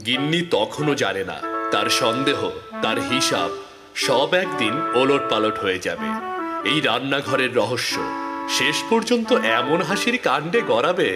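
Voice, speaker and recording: Storytelling man over loud music. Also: mid-range voice, long reverb tail. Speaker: narrator. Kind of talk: scripted cartoon narration.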